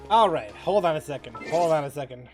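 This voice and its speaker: Female game streamer, low register, offhand and wry, chatting casually over soft music. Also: expressive, brisk. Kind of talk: casual livestream commentary.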